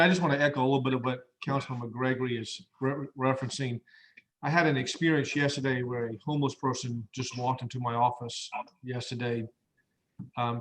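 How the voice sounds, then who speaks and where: A male council member speaking conversationally in a meeting held by conference call. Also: medium pitch. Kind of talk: recounting in a meeting. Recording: faintly noisy conference call with clicks.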